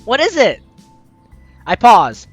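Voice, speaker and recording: A gaming video host reading a visual novel aloud in an animated, theatrical way, adding playful commentary, with game music behind. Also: medium pitch, takes it slowly, husky. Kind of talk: let's play commentary with dramatized reading of game text.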